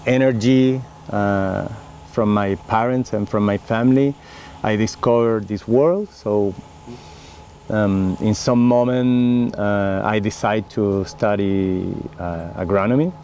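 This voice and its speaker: A middle-aged Chilean man with a gravelly, fairly low voice and a Chilean Spanish accent. He talks very slowly and earnestly, stopping often on fillers and restarting phrases. Faint background noise sits under the recording. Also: steady tone, dark tone. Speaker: winemaker interviewee. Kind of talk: oral history interview.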